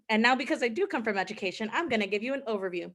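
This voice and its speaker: A woman's voice: on the high side, animated, fast, fairly bright tone. Chatty young woman presenting from home. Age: 20s. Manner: casual, chatty, frequent ums. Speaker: guest speaker. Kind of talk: online presentation.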